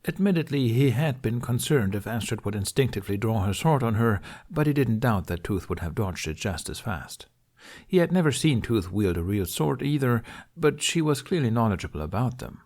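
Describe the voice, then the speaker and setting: A man's voice: mid-range voice, lively intonation, normal speed, darkish tone. A man reading a story aloud as scripted narration. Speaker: podfic reader.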